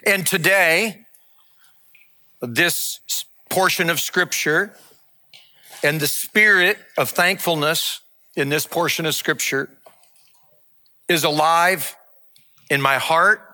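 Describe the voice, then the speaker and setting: A man in his sixties, a lead pastor, giving a sermon and announcement in an earnest, measured, heartfelt way. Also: on the high side, sharp tone. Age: 60s.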